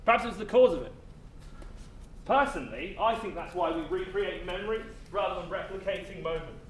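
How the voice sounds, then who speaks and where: A man acting a weary, philosophical afterlife official on stage, speaking in measured, cryptic lines. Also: sits high, natural voice. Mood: solemn. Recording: echoey stage, distant mic, faint noise.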